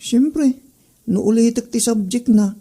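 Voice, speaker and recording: A woman's voice: a little high, measured pace, dark tone, slightly rough. A woman playing a cheeky schoolboy in a comedy audio drama, offhand and defiant, with a Northern Philippine accent. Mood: curious, casual.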